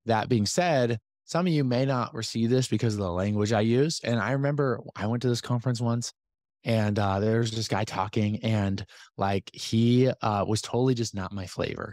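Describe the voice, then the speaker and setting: A man hosting a business podcast, talking casually to his community with a slightly hoarse voice and lots of fillers and asides. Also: lowish voice, no room sound, studio quality. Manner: energetic, chatty, many fillers and asides. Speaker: podcast host and business coach. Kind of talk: casual talk to a community call.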